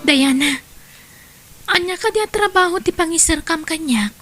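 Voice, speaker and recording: A woman's voice: very high voice, normal speed, over music. A woman with an Ilocano Filipino accent playing a stubborn, ambitious young wife and mother in an audio drama. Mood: curious.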